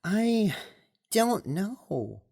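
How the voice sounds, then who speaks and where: A woman playing a shy, gentle teenage girl in an audio drama, speaking hesitantly. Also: mid-range voice, very animated, very slow, gravelly, breathy edge, exaggerated voice. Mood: uncertain. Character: shy young human girl, eighteen, gentle dreamer. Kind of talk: audio drama character acting.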